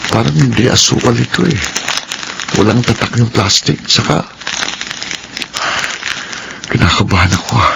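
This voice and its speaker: A man's voice: mid-range voice, animated, moderate pace, pauses often. A male actor with a Filipino accent playing a humble, honest young scavenger in a radio drama, earnest and self-effacing. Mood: puzzled, nervous. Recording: clear background noise and ambience.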